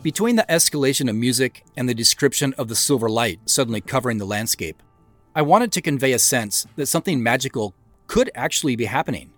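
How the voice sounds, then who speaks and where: Man, scripted commentary narration, show creator, animated intonation, music underneath. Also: medium pitch, fast talker.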